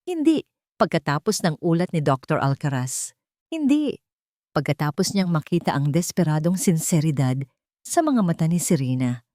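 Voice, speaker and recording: Low-voiced woman narrating a dramatic story. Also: mellow, dry recording, clean studio sound.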